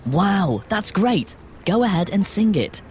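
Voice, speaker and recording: A woman voicing a bold young boy in a fairy-tale audio story, emphatic and expressive. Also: medium pitch, slow, slight background noise. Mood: friendly.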